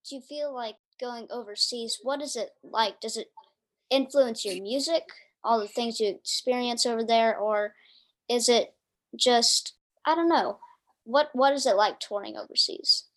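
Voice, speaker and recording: A woman's voice: on the high side, measured pace. A woman interviewing a singer in a casual conversation, asking questions and giving frequent short affirmations. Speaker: interviewer, musician.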